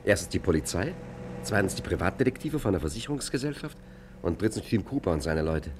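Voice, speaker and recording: Swooping, cocky gangster over engine hum. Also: male voice, deep voice, sing-song, quick pace. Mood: cocky, hurried. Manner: offhand, mock-innocent. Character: cocky, self-justifying male gentleman gangster on the run. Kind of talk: 1950s German radio crime satire acting. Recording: loud engine hum behind. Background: car engine hum.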